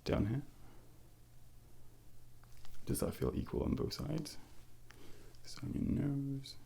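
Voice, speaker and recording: A man speaking softly and breathily, often close to a whisper, in an ASMR doctor role-play, giving gentle, reassuring, unhurried instructions. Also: mid-range voice, vocal fry, slight background noise. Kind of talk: soft-spoken ASMR medical exam role-play.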